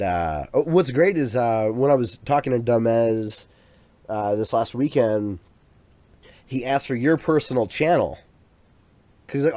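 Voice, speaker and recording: A man's voice: mid-range voice, darkish tone, dry recording. A man co-hosting a podcast in casual chat, rambling along with plenty of restarts and fillers. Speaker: podcast co-host. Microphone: USB microphone.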